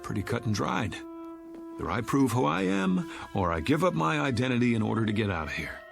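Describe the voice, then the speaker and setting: A man's voice: medium pitch, big pitch swings, fairly quick, vocal fry, over music. A man acting as an indignant forty-year-old fighting to prove who he is, with an agitated, sarcastic dramatic delivery. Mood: bitter resignation. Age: 40s. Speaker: actor.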